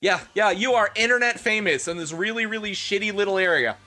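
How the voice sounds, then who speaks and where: A man's voice: sits high, a little fast, sharp tone, faint music behind. A man hosting a livestream, bantering crudely and jokingly between bits of theatrical fan-fiction reading. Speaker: livestream host.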